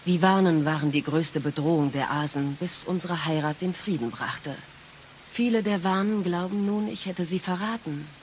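A woman playing a wise, sorrowful exiled goddess and mother in an audio drama, speaking in a measured, solemn way. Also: on the low side, a little hiss or hum, natural voice. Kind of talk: dramatic audio play acting.

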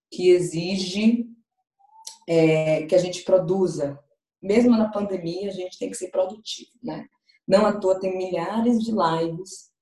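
A woman's voice: on the low side, warm tone. A woman giving an interactive online talk over a video call, speaking straight to her audience and picking up their chat replies. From Salvador, Bahia, Brazil. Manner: conversational, addresses audience directly, reads out chat replies. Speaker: guest speaker in an online event. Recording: echoey room.

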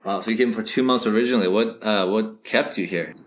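A man hosting a podcast interview in a relaxed, casual way, swearing now and then. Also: fairly low pitch, fast talker. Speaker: podcast host.

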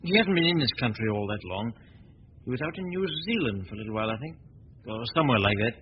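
An actor playing a suave, genial gentleman detective who talks in a relaxed, urbane way, in 1940s radio acting. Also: medium pitch, quick pace, natural voice. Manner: relaxed, urbane, easy chuckles. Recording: muffled hissy 1940 radio recording.

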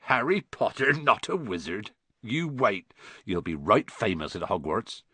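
A husky-voiced man voicing a huge, gruff but kindly bearded giant with rough folksy speech. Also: big pitch swings, unhurried, sharp tone, dry recording, exaggerated voice. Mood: amused. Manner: rough folksy speech, booming when angry.

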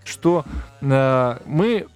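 A man's voice: medium pitch, lively intonation, drawn-out words, smooth, faint music behind. A man from a band, a podcast guest, making his points in an emphatic, opinionated way. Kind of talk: casual podcast interview.

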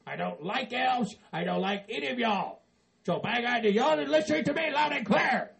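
Dude playing a cranky christmas-hating guy, squeaky gravelly voice, swearing nonstop. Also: expressive, normal speed, crowd noise, full character voice. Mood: blustering. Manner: profane, blustering, exasperated. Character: grumpy cursing middle-aged man who hates Christmas. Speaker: comedy character.

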